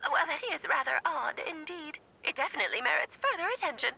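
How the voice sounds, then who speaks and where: Bright, high-voiced breezy woman researcher. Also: expressive, fast, gravelly, slight background noise, heavily acted. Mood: curious, breezy. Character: breezy, inquisitive woman researcher reporting on readings. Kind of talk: video game voice acting.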